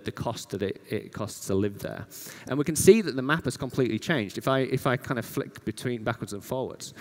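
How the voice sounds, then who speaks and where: Man presenting in a reverberant hall. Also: mid-range voice. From Yorkshire, northern England. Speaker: conference speaker, data scientist. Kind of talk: conference presentation with slides.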